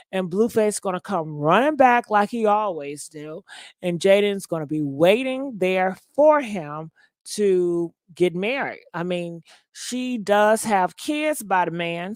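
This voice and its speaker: A woman's voice: medium pitch, no echo. Woman hosting a call-in chat, dry sound. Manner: chatty, takes callers and runs phone polls. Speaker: host of a call-in gossip show.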